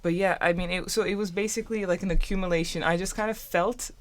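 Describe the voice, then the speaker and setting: A woman's voice: fairly low pitch, steady tone, fast. A student woman in her twenties from Turkey, a native German speaker, telling her story in a chatty way with lots of restarts. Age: 20s. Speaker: student co-host. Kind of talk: casual conversational storytelling.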